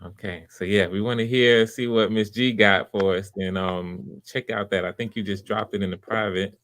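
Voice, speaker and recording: A man with a fairly low voice hosts a casual live stream, picked up close and dry. He is supportive and unscripted, often doubling back on his words as he explains things. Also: steady tone, fairly bright tone, no room sound. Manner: encouraging, supportive; halting, repeats words and backtracks. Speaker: host running live voice auditions.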